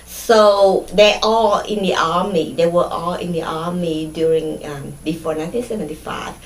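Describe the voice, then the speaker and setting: A middle-aged woman from Vietnam with a Vietnamese accent, recalling her childhood in an oral history interview, pausing often with fillers and restarts. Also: lowish voice, fairly even intonation, echoey room, a little hiss or hum, low-quality recording. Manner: hesitant, many fillers and restarts.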